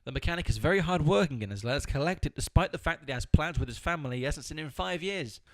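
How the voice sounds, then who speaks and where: A man's voice: fairly high pitch, expressive, fast, fairly bright tone, no echo. A man playing an exasperated, stingy, bossy straight man with a dry, curt manner in an amateur audio comedy.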